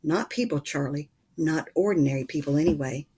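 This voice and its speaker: A woman voicing an animated, secretive old grandfather in a storybook, telling his tale dramatically. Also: low voice, fairly quick, slightly rough. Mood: mysterious.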